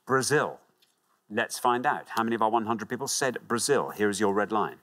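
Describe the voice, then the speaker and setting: A man's voice: lowish voice, fairly quick, fairly bright tone. A middle-aged man hosting a studio quiz show with a lapel mic, chatty and encouraging. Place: large TV studio with audience.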